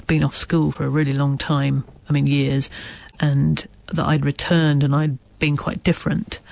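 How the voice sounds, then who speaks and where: A woman's voice: low voice, creaky, a little mellow, no room sound. A woman from Wales with a stammer, an author answering interview questions in a thoughtful way, searching for her words. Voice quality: has a stammer. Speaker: author guest.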